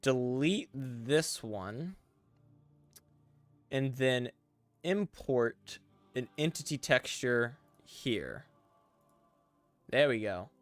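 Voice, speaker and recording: A man's voice: medium pitch, very slow, long pauses, no echo, soft background music. A young man casually chatting on a live stream, talking through each step as he works and reading chat aloud. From English-speaking. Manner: relaxed, talks through steps as he works, reads chat aloud.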